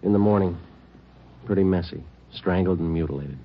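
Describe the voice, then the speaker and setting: An actor playing a stoic American homicide detective in a 1940s radio drama, deadpan, terse and businesslike. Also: male voice, low-pitched, moderate pace, a little hiss or hum. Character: stoic, matter-of-fact male homicide police detective. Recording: dull old radio recording, music behind.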